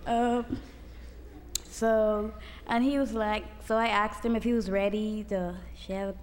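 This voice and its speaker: A woman's voice: medium pitch, fairly even intonation, slow. A young woman telling her church group a casual story as a student testimony, chatty and full of fillers. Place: church hall. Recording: long hall reverb, faint noise.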